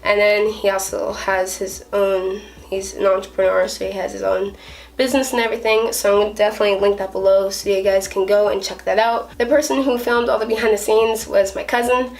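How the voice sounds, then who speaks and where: A young woman speaks to her vlog audience in an earnest, chatty way, restarting a phrase now and then. Her pitch sits in a medium range. She is picked up from a little way back from the mic. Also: fairly even intonation, brisk, far from the mic, low-quality recording. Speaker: vlogger. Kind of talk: casual vlog talk to camera.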